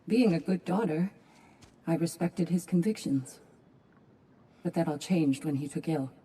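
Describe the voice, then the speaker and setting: An actress playing a composed Valkyrie who was once a healer, deliberately telling her story in a game, with a husky voice. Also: deep voice, warm tone, heavily acted. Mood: reflective.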